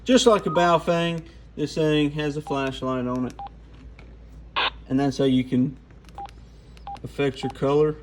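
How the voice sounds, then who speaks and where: A man's voice: on the high side, fairly even intonation, a little slow, long pauses, slight background noise, rough recording. A man giving an informal, off-the-cuff hands-on product walkthrough. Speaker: radio gear reviewer.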